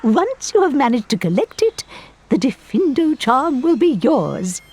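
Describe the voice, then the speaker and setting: Husky, lilting witch professor. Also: high-pitched, very animated, darkish tone, exaggerated voice. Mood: instructing. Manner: formal, deliberate, instructing. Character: formal, kindly strict female witch professor of herbology. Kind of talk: video game character acting.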